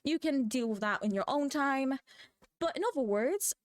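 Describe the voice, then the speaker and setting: A woman's voice: high voice, lively intonation, moderate pace, no echo, clean studio sound. A young woman from Poland whose first language is Polish, telling chatty, rambling stories on her vlog and laughing often. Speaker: vlogger. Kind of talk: casual rambling rant.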